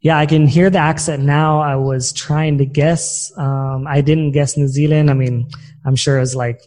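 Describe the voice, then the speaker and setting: A man's voice: fairly high pitch, level tone, normal speed, a little mellow. A man hosting an interview, speaking in a casual, conversational way. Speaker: interviewer, podcast host.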